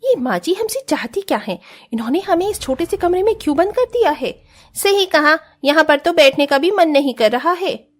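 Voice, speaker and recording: A spoiled young rich wife from a cartoon family drama, voiced by a woman. She is haughty and whiny, with a very high pitch that swings up and down as she complains and talks back. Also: animated, moderate pace. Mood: whiny complaining.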